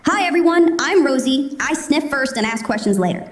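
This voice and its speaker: A woman's voice: high voice, animated. Woman voicing Rosie, a cheeky talking dog. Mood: playful. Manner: eager, cheeky, quick to distraction. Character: excitable chatty female pet dog.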